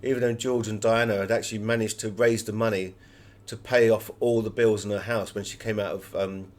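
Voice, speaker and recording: Low-voiced man telling anecdotes. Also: little pitch movement, brisk. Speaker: author being interviewed. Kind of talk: conversational interview answers, anecdotal.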